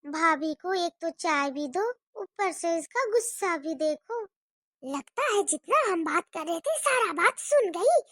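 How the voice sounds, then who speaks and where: A woman's voice: very high-pitched, very animated, moderate pace, hardly any pauses, fairly bright tone, no room sound, studio quality, full character voice. A woman voicing a nosy unmarried sister-in-law who mutters sly asides.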